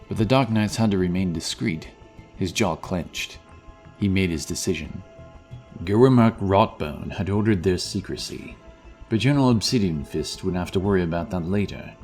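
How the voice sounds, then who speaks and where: A man's voice: low voice, moderate pace, a little mellow, soft background music. A man giving dramatic scripted narration of a fantasy story.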